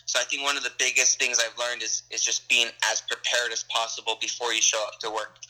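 A man's voice: mid-range voice, little pitch movement, brisk, pushed and bright, low-quality recording. A young adult man, an actor, answering interview questions in a friendly, rambling way with frequent laughs and restarts.

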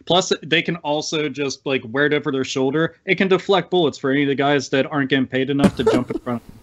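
Profane, rambling man with higher-pitched voice. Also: quick pace. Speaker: panelist on a talk show. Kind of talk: casual comic political chat.

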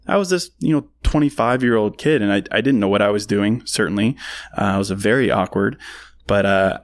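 A man's voice: lowish voice, no room sound. An American man in his thirties hosting a podcast, giving a casual motivational monologue in a conversational, emphatic way, repeating phrases for effect. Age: 30s. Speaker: podcast host.

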